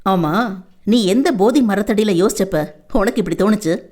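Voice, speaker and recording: A woman voicing a young man, an anxious, devoted lover, in a lower pitch with earnest pleading. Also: lively intonation, a little slow, darkish tone. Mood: teasing, tender.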